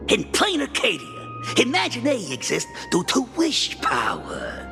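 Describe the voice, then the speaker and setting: A man's voice: high voice, huge pitch swings, measured pace, creaky, in a large hall, over music, full character voice. An actor playing a flamboyant, scheming male gang boss in a game, laughing and theatrical. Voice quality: theatrical, laughing, sing-song. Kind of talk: game voice acting.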